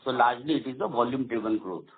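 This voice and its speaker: A man with an Indian accent answering as a company executive on an earnings call, halting and repeating phrases as he goes. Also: medium pitch. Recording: dull lo-fi conference call audio.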